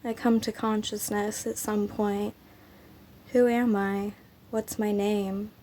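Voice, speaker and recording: A woman with a sick, raspy voice, hosting her poetry channel and reading her own poems in a slow, unhurried way with frequent ums. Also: medium pitch, flat delivery, dark tone.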